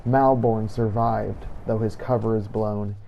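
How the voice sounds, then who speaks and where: Low, creaky-voiced man narrating a game journal. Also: steady tone, a little slow, dull audio, a little hiss or hum. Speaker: gameplay narrator playing a vampire hunter in character. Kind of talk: scripted first-person roleplay narration.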